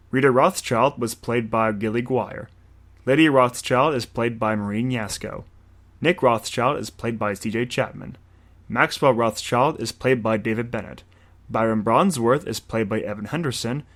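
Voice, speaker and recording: A man's voice: mid-range voice, fairly bright tone. A man reading scripted end credits and announcements for an audio drama. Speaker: credits reader. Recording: music under the voice.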